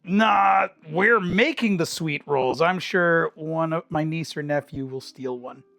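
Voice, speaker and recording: Slightly hoarse guy streaming from his kitchen, laid-back rambling chat, chuckles a lot, soft music behind. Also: a little high, a little slow, no echo. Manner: easygoing, rambling, chuckles often. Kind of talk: casual chat to viewers while cooking. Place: home kitchen.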